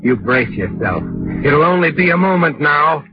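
Sardonic old-time radio mystery narrator. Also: male voice, on the high side, very animated, normal speed. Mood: knowing, suspenseful. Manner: sardonic, addresses the character as "you". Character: sardonic, ominous all-knowing male narrator of crime tales. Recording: muffled vintage radio recording with music.

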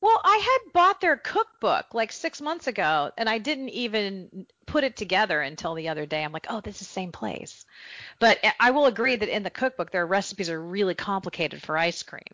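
A talkative woman co-hosts a relaxed podcast with animated energy and easy laughter. Her voice sits mid-range, recorded dry and slightly dull. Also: medium pitch, moderate pace, no room sound. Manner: chatty, animated, laughs easily. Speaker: podcast co-host, travel agent. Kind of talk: casual podcast chat.

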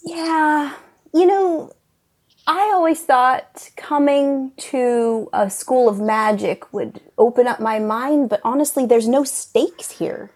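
A woman's voice: high-pitched, a little mellow. Woman playing a weary, cynical young witch student. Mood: jaded, questioning. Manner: weary, dry, deliberate. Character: jaded, cynical young female magic student. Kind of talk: improvised comedy sketch.

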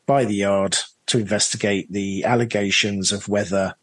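A man's voice: on the low side, steady tone, normal speed, fairly bright tone, no room sound. A man being interviewed as a drama director, chatting in an enthusiastic, emphatic way. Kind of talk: animated interview chat.

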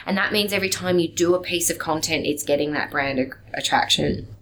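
Woman presenter, deep voice, emphatic and upbeat, slight background hiss. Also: brisk, a bit bright, low-quality recording. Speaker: guest, branding specialist and broadcast presenter. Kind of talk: motivational interview talk.

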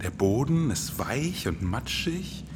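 A man's voice: mid-range voice, sing-song, takes it slowly, noisy background. A man in his forties co-hosting a scripted, performative podcast in an enthusiastic, colloquial manner. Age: 40s. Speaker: podcast co-host. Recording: long reverb, music underneath.

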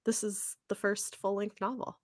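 A woman's voice: mid-range voice, little pitch movement, normal speed, dry recording, studio quality. A woman co-hosting a podcast, chatting casually about books. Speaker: podcast co-host. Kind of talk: casual book discussion chat.

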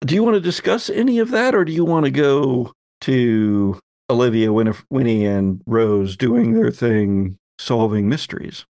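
A man's voice: medium pitch, moderate pace, hardly any pauses, a little mellow, dry recording. A man hosting a tabletop game as game master, narrating scenes off the cuff.

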